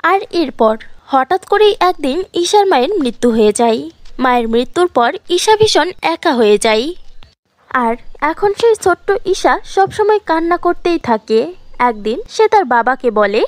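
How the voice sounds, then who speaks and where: A woman's voice: high-pitched, sing-song, unhurried, dry recording. A woman narrating a scripted fairy tale as a storyteller.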